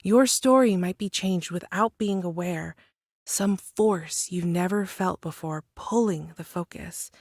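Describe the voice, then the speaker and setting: An actress playing an enigmatic, gently teasing woman from a world of monsters, telling a hushed bedtime tale in a measured, lyrical way for an audio drama. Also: fairly low pitch, no echo, clean studio sound. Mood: musing, mysterious.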